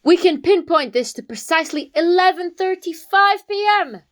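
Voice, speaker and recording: A woman playing a confident young detective in an amateur comedy whodunnit, explaining with dramatic pauses. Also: extremely high pitch, lively intonation, fairly bright tone. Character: confident young detective laying out a murder case.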